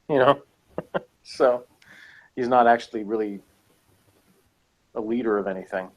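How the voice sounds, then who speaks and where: A man's voice: medium pitch, slow pace, rough recording. A man in a casual review chat, rambling and hedging as he argues his points as a co-host. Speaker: podcast co-host reviewing TV episodes.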